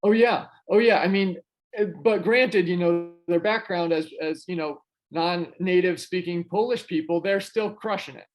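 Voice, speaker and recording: Man, podcast guest, high-pitched voice, casual storytelling, lots of fillers. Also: normal speed. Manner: chatty, many fillers and restarts. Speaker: podcast guest, real estate investor.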